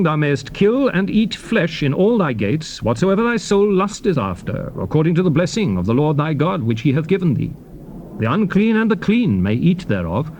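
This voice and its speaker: Expressive man solemnly reading scripture. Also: on the high side. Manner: solemn, measured, emphatic phrasing. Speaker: narrator of a dramatized scripture audiobook. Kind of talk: dramatic scripture reading, formal and declamatory. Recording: noisy old cassette tape recording.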